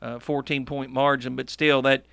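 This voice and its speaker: A man's voice: medium pitch, level tone, moderate pace, creaky, sharp tone, clean tone, dry recording. A man co-hosting a local sports radio show, reciting game scores and correcting himself as he goes. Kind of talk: game recap commentary. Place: radio studio. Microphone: studio microphone.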